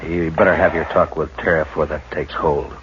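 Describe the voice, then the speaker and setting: An actor playing a calm, steady frontier marshal who also narrates in a measured, gentle way, in a 1950s radio drama. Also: male voice, rumbling low. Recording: muffled old radio recording with loud hiss.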